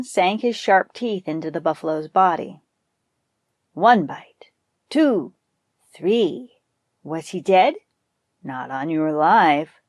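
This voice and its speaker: A woman reading a scripted folktale as an expressive storyteller. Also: mid-range voice, no room sound, studio quality. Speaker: podcast storyteller.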